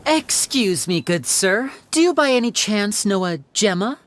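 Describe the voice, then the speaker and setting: A woman's voice: medium pitch, animated, measured pace, a little hiss or hum, close to an everyday voice. An actress playing a courteous young woman investigator in a game, asking people questions. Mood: curious.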